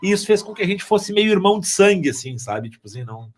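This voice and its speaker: A man's voice: sits high, very animated, fast. A man chatting casually, rambling and joking as he goes.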